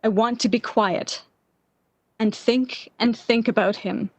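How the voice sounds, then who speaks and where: An actress playing an anxious, lovesick young woman in 1940s-style radio theater, pleading and halting in a melodramatic way. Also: on the high side, fairly even intonation, a little mellow, no room sound. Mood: brooding, insistent. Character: Anne, lovesick, anxious, desperate young woman clinging to love.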